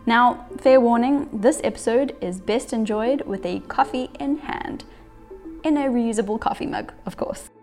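A woman co-host reading a measured, scripted podcast introduction. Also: a little high, a little mellow, faint music behind.

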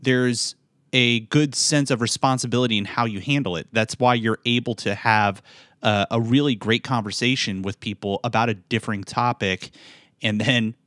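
A man hosting a podcast interview, asking questions in a halting way with repeated words. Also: mid-range voice, fairly even intonation, fairly bright tone, dry recording, clean studio sound. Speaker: podcast host.

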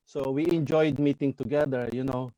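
A man in his forties, a church minister speaking in an earnest, halting way with repeated words in a casual chat. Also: medium pitch, fairly even intonation, mellow, dry recording, rough recording. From Philippines. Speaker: church minister abroad, guest. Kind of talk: casual video-call chat.

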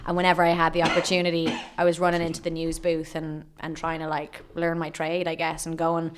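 Irish woman, 30s, low-ish voice, chatty rambling guest storyteller. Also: monotone, fairly quick, noisy background. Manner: chatty storyteller, lots of fillers, laughs often. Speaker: guest, radio journalist. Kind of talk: casual podcast chat.

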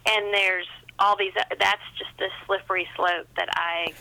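A woman's voice: medium pitch, level tone, moderate pace, creaky, bright, forceful tone, low-quality recording. A woman speaking casually as a guest author in an interview, chatty and self-deprecating.

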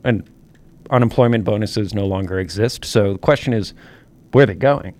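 A man's voice: on the low side, moderate pace, darkish tone. A man co-hosting a talk podcast with a mid-range voice. He sets up each topic earnestly, walking through figures between stumbles and fillers. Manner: earnest, leading the topic with stats. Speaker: podcast co-host. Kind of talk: casual political podcast chat.

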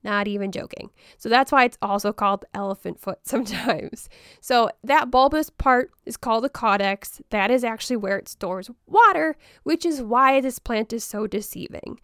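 A young woman hosts a relaxed solo podcast in a fairly high, smooth voice, still hoarse after the flu. She rambles chattily with ums and small laughs, recorded close and dry with no room sound. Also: normal speed, no echo. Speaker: podcast host. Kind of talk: casual solo podcast about houseplants.

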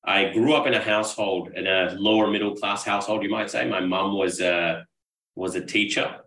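Deep-voiced man, distant and roomy. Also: fairly even intonation, moderate pace, fairly bright tone. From Pakistan. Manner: talkative, reflective, earnest. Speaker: podcast guest, business coach. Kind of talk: casual interview storytelling. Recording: roomy echo, distant mic.

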